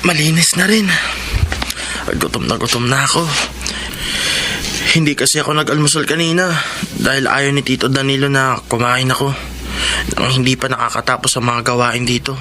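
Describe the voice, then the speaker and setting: An actor playing a meek, downtrodden young stepson in a radio drama, speaking hesitantly and politely. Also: male voice, medium pitch, moderate pace, pauses often, background noise, close to an everyday voice. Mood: weary, timid.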